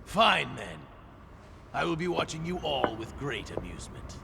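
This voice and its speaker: An actor playing a haughty, contemptuous lord in a video game cutscene, pompous and commanding with a gravelly voice. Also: male voice, on the high side, sing-song, unhurried, background noise, heavily acted. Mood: coldly amused. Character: haughty, imperious, contemptuous lord, adult man.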